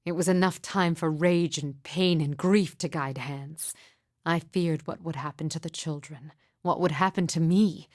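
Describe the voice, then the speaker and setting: A woman playing a grieving, mystical midwife in a fantasy game, speaking in a solemn, formal, measured way with deliberate pauses. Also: low-pitched, a little mellow, no echo, studio quality. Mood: sorrowful, haunted. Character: grieving mother, solemn sorrowful mystic midwife with haunted calm. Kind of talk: scripted fantasy game dialogue.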